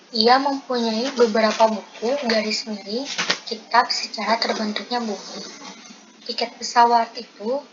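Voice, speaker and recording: A young woman reading out presentation material item by item as a student presenter. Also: medium pitch. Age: young adult. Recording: dull lo-fi audio with loud noise.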